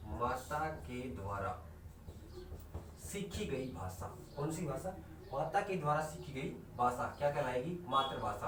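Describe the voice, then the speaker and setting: A man's voice: on the high side, fairly bright tone, slight background noise. A man teaching a Hindi class online, asking his students questions, answering them himself and repeating the key terms. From India. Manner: slows right down to stress definitions. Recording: echoey room recorded from a distance.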